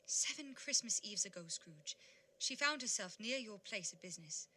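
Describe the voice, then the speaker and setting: A stern female spirit acted by a woman for an audio play. The voice is bright and forward, with a pointed, probing manner, like a guide passing grave judgment. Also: mid-range voice, lively intonation, normal speed, a little hiss or hum, rough recording. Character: stern Ghost of Christmas Past, female spirit. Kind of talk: theatrical audio drama acting.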